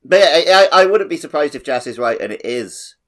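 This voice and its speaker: A man's voice: fairly high pitch, animated, fairly quick. A man co-hosting a casual gaming podcast, talking in a playful way. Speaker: podcast co-host.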